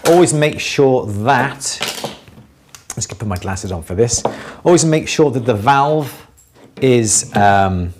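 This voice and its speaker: A man's voice: medium pitch, expressive, slow, a little mellow, a little hiss or hum. A middle-aged man with a Welsh accent, explaining hands-on craft work casually to a live audience and repeating words for emphasis.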